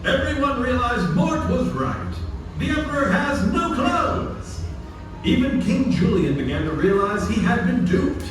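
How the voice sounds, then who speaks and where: A man narrates a scripted puppet-show story. His voice is high and very animated in intonation. It is picked up from a distance in a very echoey, noisy indoor venue. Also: a little slow, over music.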